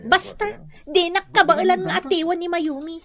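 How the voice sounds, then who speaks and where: An actress playing a boastful, showy young office worker in a radio drama, with an Ilocano Filipino accent. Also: female voice, very high voice, fairly even intonation, normal speed, a bit bright. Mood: boastful, competitive.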